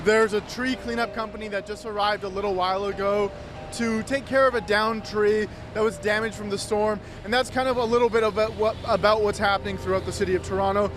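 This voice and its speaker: A man reports live from an outdoor street in a notably high voice with flat intonation. His delivery is semi-improvised, and loud music plays behind him. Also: fairly quick. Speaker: TV news reporter.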